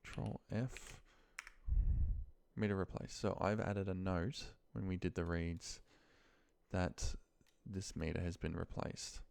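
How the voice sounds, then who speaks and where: Slow, low-pitched man muttering through a task. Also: gravelly, a little mellow, no room sound. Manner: muttering short fragments while working. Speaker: office worker demonstrating a data-entry task. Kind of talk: informal screen-recording walkthrough, thinking aloud. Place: at a computer, screen recording.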